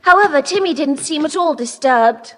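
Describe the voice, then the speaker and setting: Timid British little girl, very high, lilting, hesitant, children's audio drama, long reverb. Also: lively intonation, normal speed, a bit bright. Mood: uneasy. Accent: British English. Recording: echoing, faintly hissy cassette tape.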